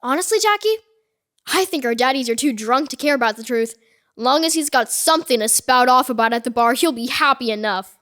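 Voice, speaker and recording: Woman playing a high-voiced kid. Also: animated, fairly quick, a bit bright. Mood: joking. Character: earnest small-town country kid, artistic, bold and shyly smitten. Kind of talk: audio horror drama acting.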